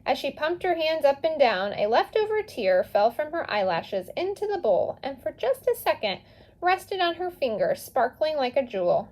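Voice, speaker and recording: A woman reading a children's story aloud in an animated, expressive way with emphatic pauses. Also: high-pitched. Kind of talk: animated children's read-aloud with casual asides.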